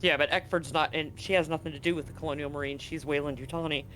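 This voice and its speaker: A man's voice: a little high, steady tone, fast, a bit bright, a little hiss or hum, low-quality recording. A man narrating a live tabletop role-playing game as game master, explaining things conversationally. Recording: music playing behind the voice. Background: music.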